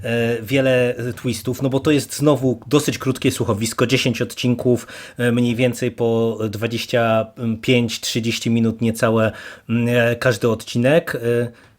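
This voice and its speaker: A man's voice: medium pitch, level tone, measured pace. A man hosting a podcast, discussing and reviewing in a casual, hesitant way with many repeated words. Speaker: podcast host.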